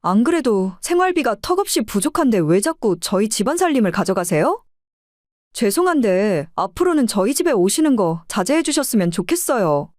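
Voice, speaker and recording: A woman's voice: on the high side, sing-song, moderate pace, a little mellow, no echo, studio quality. A woman playing a fed-up, assertive wife confronting her family in an audio drama. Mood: firm, exasperated.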